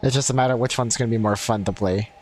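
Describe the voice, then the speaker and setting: A male game streamer talks casually as he plays. He rambles and thinks aloud in an average-pitched voice, moving loosely from one thought to the next. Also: fairly even intonation, very fast, noisy background. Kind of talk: casual live gaming commentary.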